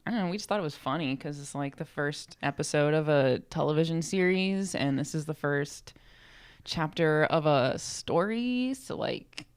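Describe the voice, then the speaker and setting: Low pitched woman podcast host, jokey and playful, just chatting casually. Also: animated, moderate pace, smooth. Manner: playful, joking. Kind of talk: casual chat.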